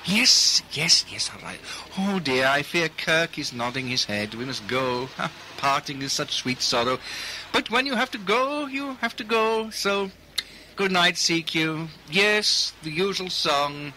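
An actor in a radio play playing a mischievous, rambling 1920s English radio engineer at the microphone, theatrical and playfully hesitant. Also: male voice, a little high, animated, unhurried, bright, forceful tone, slight background noise, full character voice, rough recording. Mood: wistful, chatty.

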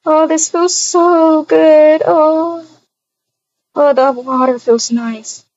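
A woman playing a sweet, excitable young woman in a casual roleplay, gushing with breathy exclamations. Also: high-pitched, very slow, full character voice. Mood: contented. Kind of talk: casual improvised roleplay acting. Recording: dull, lo-fi home microphone.

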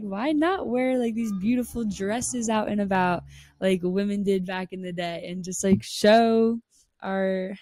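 A woman's voice: medium pitch, a little mellow, dry recording. A young woman from Pennsylvania hosting a podcast, chatting in a bubbly, upbeat way with lots of fillers, recorded on a close handheld mic outdoors. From Pennsylvania, United States. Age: 20s. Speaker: podcast host. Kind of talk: casual chat. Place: rooftop terrace outdoors. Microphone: large desk mic held close, headphones.

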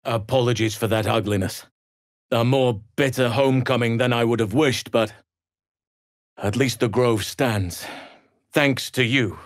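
A man voicing an earnest, solemn druid healer in a fantasy video game, speaking in measured, formal phrases. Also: medium pitch, no room sound, clean studio sound, lightly acted. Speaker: video game companion character. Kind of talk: scripted fantasy game voice acting.

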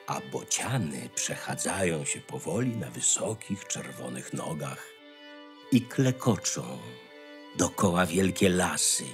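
Very deep, slow male storyteller. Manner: measured storytelling, dramatic pauses. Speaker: narrator. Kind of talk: scripted fairy-tale narration. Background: music.

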